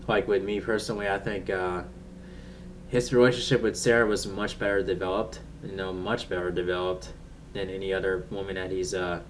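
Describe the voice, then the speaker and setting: Deep-voiced man rambling, distant mic. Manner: halting, rambling, searching for words. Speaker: TV episode reviewer. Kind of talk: casual unscripted review chat. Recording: distant mic with faint background noise.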